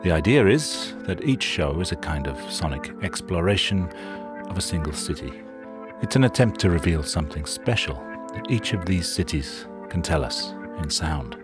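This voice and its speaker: A man hosting a sound documentary, narrating a scripted introduction in a measured, wry storytelling manner. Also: low voice, big pitch swings. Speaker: show host and narrator. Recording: narration over loud music.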